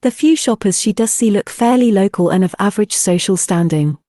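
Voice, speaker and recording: A woman's voice: mid-range voice, brisk, mellow, no echo, studio quality. A woman reading scripted narration for a fantasy audio drama. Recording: music behind the voice.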